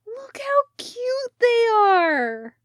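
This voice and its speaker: A woman's voice: extremely high pitch, big pitch swings, drawn-out words, a bit bright, no echo, studio quality, pitched above the performer's own voice, exaggerated voice. A woman voicing a quirky, eager, mischievously affectionate young woman in a story, with childlike delivery. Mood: delighted. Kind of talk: storybook character voice.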